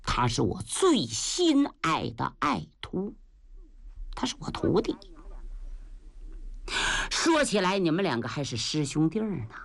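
A woman voicing an old male Taoist master who speaks slowly and solemnly. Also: deep voice, huge pitch swings, vocal fry, pitched below the performer's own voice, heavily acted. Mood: calm. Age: older. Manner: slow, solemn and soothing.

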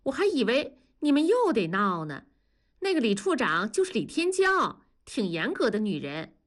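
A woman's voice: fairly high pitch, sing-song, moderate pace. A woman voicing a candid young married daughter talking to her mother.

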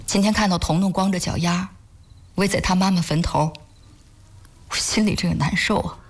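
An actress playing a caring, soft-hearted wife devoted to charity in a sentimental audio drama, speaking earnestly in a slightly husky voice. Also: female voice, on the low side, normal speed, a little hiss or hum, close to an everyday voice. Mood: sorrowful.

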